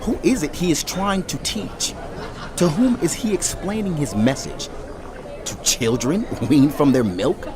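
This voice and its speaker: A scornful scoffer in a bible drama, sneering, kinda high voice, noisy crowd around. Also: male voice, expressive, unhurried. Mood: mocking, scornful. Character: mocking scornful male scoffer, drunken priest.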